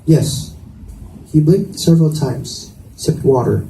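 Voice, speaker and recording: A man's voice: on the high side, normal speed. A man reading scripted narration from a romance novel aloud in a roomy indoor space. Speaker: reader. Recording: echoey room, distant mic, faint noise.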